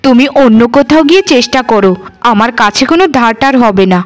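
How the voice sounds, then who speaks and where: Woman acting a haughty, high-voiced rich housewife. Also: lively intonation, quick pace, lightly acted. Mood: scornful, dismissive. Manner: sneering, rhetorical, dismissive. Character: haughty, scornful rich village housewife refusing beggars. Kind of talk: Bengali cartoon dialogue.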